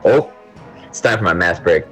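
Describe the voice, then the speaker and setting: Man playing low-voiced, bombastic melodramatic student gamer. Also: expressive, normal speed, a bit bright, soft background music. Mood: mock-poetic rapture. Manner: theatrical, grandiloquent ranting. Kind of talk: amateur audio drama acting.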